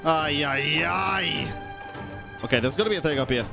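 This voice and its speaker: A man's voice: fairly high pitch, expressive, normal speed, vocal fry, music in the background. A man giving casual live gameplay commentary as a streamer, reacting in slangy, sweary language. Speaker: game streamer.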